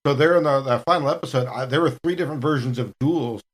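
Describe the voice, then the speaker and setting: A man's voice: mid-range voice, quick pace. A man talking casually as a cast member of a gaming podcast. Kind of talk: casual chat.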